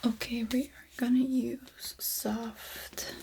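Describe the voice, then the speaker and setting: A woman speaking in a breathy whisper, gently murmuring through an ASMR personal attention roleplay with soft pauses. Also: fairly high pitch, little pitch movement. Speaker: ASMR creator playing an ear decorator.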